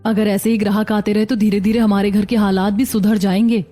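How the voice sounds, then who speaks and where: A woman's voice: mid-range voice, level tone, brisk, mellow, soft background music, close to an everyday voice. A woman playing a hardworking, hopeful young daughter-in-law who runs a noodle stall in a cartoon. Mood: hopeful, determined. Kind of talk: scripted cartoon dialogue.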